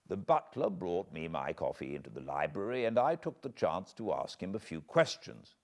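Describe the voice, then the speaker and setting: A middle-aged English man narrating a classic novel in a measured, expressive reading. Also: low-pitched, vocal fry. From Britain. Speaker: audiobook narrator. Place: cozy room by a fireplace.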